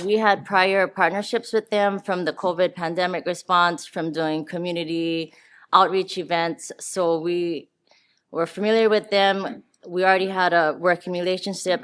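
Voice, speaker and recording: A woman's voice: lowish voice, smooth. A woman giving a conference talk on public health work, earnest and walking through her slides. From Hawaii. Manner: earnest, talks through slides, some restarts. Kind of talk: conference presentation. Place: conference room. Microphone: handheld or podium mic.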